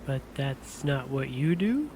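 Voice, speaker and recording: A man's voice: mid-range voice, very animated, takes it slowly, creaky, darkish tone, slight background noise, exaggerated voice. A man playing a puzzled, wary husband and prospective client in an audio drama, speaking hesitantly and questioningly.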